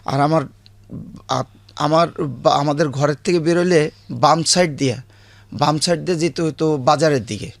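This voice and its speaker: Man, rural Bangladeshi accent, creaky voice, hesitant storytelling, interview guest, dry close recording. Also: a little high, moderate pace, pauses often, dry recording. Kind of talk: recounting childhood memories.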